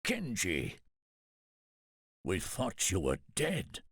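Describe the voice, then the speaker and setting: A man playing a grave, devoted samurai retainer in a video game, with a husky voice and a measured, earnest delivery. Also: low voice, wildly swooping pitch, a bit bright, no echo, clean studio sound, exaggerated voice. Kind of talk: dramatic video game cutscene acting.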